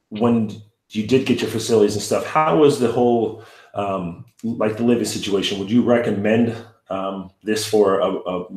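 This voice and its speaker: A male podcast host who is also a high school coach, asking long rambling questions with many restarts. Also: reverberant. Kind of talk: podcast interview.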